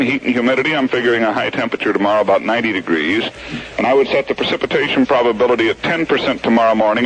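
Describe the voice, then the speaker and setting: A man's voice: medium pitch, a bit bright, low-quality recording. A man giving a conversational weather forecast as a radio forecaster on a 1970s AM broadcast.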